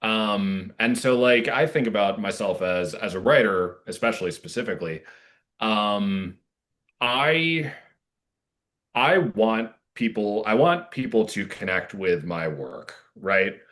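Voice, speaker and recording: A male interview guest with a fairly low voice speaks casually and earnestly. He feels his way thoughtfully through sentences, pausing and stressing words deliberately. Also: animated, a bit bright. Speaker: playwright guest.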